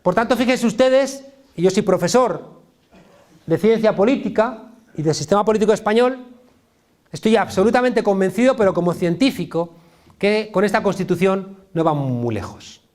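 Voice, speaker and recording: A man's voice: high voice, animated, normal speed, darkish tone. A middle-aged man from Spain, a political science professor, giving an impassioned public lecture with a Spain Spanish accent and emphatic, colloquial repetition.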